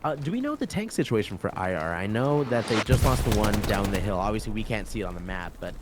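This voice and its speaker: A man's voice: fairly low pitch, sing-song, fast, very noisy. An American man commentating a competitive game in an easygoing way, agreeing and laughing often. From United States. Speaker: esports commentator. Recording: game artillery and gunfire behind. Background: artillery and gunfire from the game.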